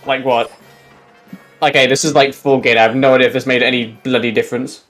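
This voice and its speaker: A man with a loud, booming voice doing casual speedrun commentary, rambling with lots of fillers, his mic at forearm's length. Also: flat delivery, brisk, soft background music. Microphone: desk mic at forearm's length.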